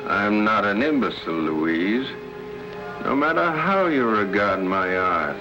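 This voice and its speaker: A man's voice: on the low side, sing-song, a little slow, lo-fi sound. An actor playing a stern, imperious, suspicious man who questions accusingly in an old-time radio drama. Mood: haughty. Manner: haughty, accusing. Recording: old radio broadcast with music.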